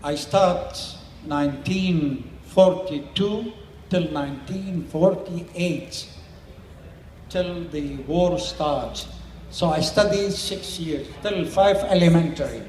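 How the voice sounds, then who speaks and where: Older man, slow non-native English, echoey hall. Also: a little high, a little mellow, slight background noise. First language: Syriac. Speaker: interviewee, community elder and tailor. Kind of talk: explaining to a small audience.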